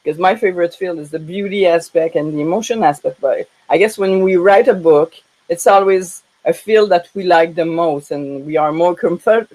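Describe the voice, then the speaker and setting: A woman from Canada with a French-Canadian accent hosting a casual live interview in a halting way, often repeating words. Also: fairly low pitch, darkish tone, rough recording. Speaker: live show host.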